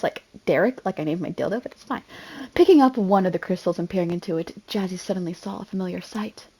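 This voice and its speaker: A woman's voice: medium pitch, a little mellow. A woman giving a mock-dramatic amateur reading, theatrical and self-mocking, often breaking off into casual asides. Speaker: amateur reader.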